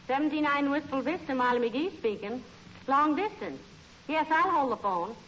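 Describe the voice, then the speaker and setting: A high-pitched, good-natured housewife, folksy and wryly teasing. She is in a 1940s radio comedy, on a dull old recording with clear noise. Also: lively intonation, normal speed, fairly bright tone. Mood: chatty, wry. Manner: folksy, wry.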